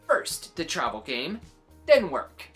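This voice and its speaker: Storybook kid played by a woman: indignant, stubborn boy, emphatic, pitch swinging widely. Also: wildly swooping pitch, slow, faint music behind, full character voice. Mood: determined. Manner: emphatic, protesting.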